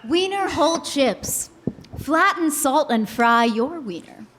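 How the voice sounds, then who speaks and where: A woman co-hosting a mock old-time radio ad, speaking in an exaggerated, deliberate way. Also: high voice, expressive, fairly bright tone, big-room sound. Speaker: co-host reading mock sponsor spot. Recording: live theater stage, faint noise.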